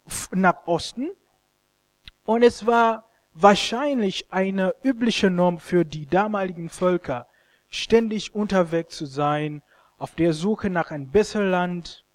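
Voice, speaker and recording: A man, a Luganda speaker, preaching a sermon in an expressive, halting way and often stumbling over words. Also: high-pitched. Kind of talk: sermon with scripture reading and commentary.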